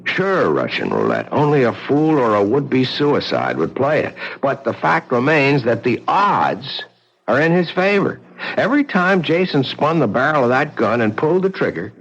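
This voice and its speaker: An actor playing a sharp-minded husband in a radio drama, reasoning aloud with emphasis. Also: male voice, a little high, sing-song, normal speed, heavily acted. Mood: explaining. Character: sharp-minded young husband solving a mystery. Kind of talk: 1970s radio drama acting. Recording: muffled old radio broadcast.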